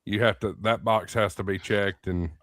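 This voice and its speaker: A male host leads a casual ham radio chat. His voice is very deep, and he explains things plainly and matter-of-factly. The sound is dry and close. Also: fairly even intonation, moderate pace, a bit bright, no echo.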